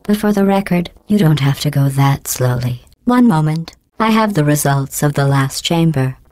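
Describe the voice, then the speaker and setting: A woman voicing a cold, sarcastic female AI supercomputer in a video game, with a robotic vocal filter and a deadpan, passive-aggressive delivery. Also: low-pitched, normal speed, no room sound. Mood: deadpan mocking. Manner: deadpan, passive-aggressive insults in a calm clipped cadence. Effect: robotic vocal processing.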